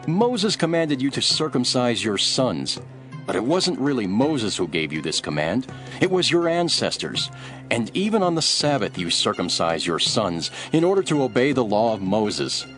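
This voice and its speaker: A man's voice: mid-range voice, very animated, moderate pace, fairly bright tone, faint music behind. A man playing Jesus as a bold, earnest teacher addressing crowds in an audio Bible drama. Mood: challenging.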